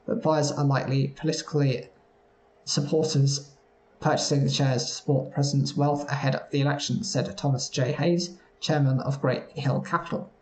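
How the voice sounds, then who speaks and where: A man reading news stories aloud at a steady pace, sometimes stumbling over words. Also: mid-range voice, moderate pace, roomy sound, low-quality recording. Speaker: news reader.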